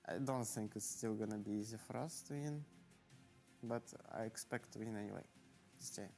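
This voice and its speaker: A man's voice: on the low side, moderate pace, vocal fry, dark tone, faint music behind. A man, a professional player, speaking plainly and hesitantly in an interview. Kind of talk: post-match player interview.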